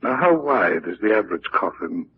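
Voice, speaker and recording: A man's voice: low voice, big pitch swings, normal speed. An actor playing a stubborn, wealthy father with a clipped, commanding manner in a 1940s radio drama. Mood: brusque, determined. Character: imperious wealthy father, stubborn and morbidly determined. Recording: dull, hissy 1940s radio recording.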